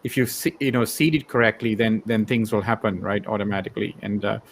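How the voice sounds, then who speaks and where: A man's voice: fairly low pitch, fairly even intonation, a little fast, slight background noise. A man from Sri Lanka hosting a casual interview, rambling tentatively as he works toward his questions. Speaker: show host, interviewer.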